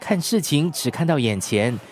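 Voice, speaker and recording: A man's voice: fairly high pitch, animated, normal speed, lightly acted. A man acting in a radio drama as a devoted, effusive young husband. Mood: earnest, reflective. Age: young adult. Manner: effusive, melodramatic.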